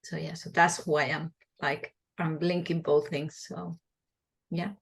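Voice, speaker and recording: A woman's voice: low-pitched, level tone, unhurried, vocal fry, husky. A woman in her forties from Spain with a Spanish accent, a midwife answering interview questions in a passionate, earnest way, often restarting her words.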